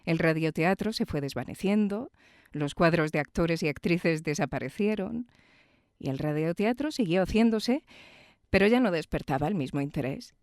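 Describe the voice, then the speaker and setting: A woman's voice: on the low side, animated, normal speed, a little mellow, dry recording, clean studio sound. A woman with a Castilian Spanish accent, presenting and interviewing as a podcast host. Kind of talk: scripted introduction and enthusiastic interview. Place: radio studio.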